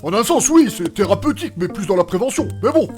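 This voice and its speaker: An actor playing an eccentric, touchy, pedantic chemist in a comedic audio drama, with blunt, slangy delivery. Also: male voice, sits high, very animated, fast. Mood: defensive. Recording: music behind the voice.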